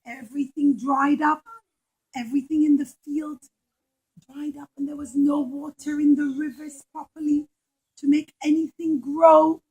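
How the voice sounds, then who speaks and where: A woman's voice: sits high, flat delivery, a little slow, a little mellow, rough recording. A woman storyteller narrating a puppet show to children in simple short phrases with emphatic repetition.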